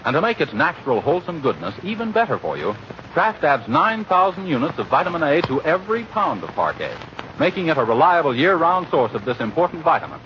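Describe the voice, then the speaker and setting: A man's voice: a little high, wildly swooping pitch, a little fast. A man announcing in a scripted 1940s radio style, polished and emphatic. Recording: dull, slightly noisy old radio recording.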